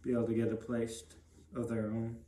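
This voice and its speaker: Young man, flat delivery, echoey room. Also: medium pitch, brisk. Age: young adult. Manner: plain-spoken, brief answers. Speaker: interview guest. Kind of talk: casual interview answers. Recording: roomy echo.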